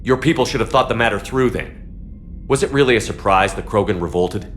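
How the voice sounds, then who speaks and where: Man acting a deep-voiced resolute military commander. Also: fairly quick, fairly bright tone, slight background noise, lightly acted. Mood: firm, controlled. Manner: blunt, controlled, decisive. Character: firm, resolute human male military commander. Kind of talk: cinematic video game acting. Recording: game music behind.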